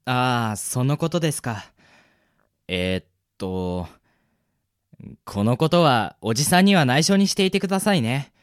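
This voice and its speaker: A man's voice: mid-range voice, lively intonation, unhurried, pauses often, clean tone, no room sound, clean studio sound, close to an everyday voice. A man acting a polite, easygoing young florist's son in a radio drama. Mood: sheepish, matter-of-fact. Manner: polite, easygoing, soft-mannered speech. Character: polite, cheerful young son minding a flower shop.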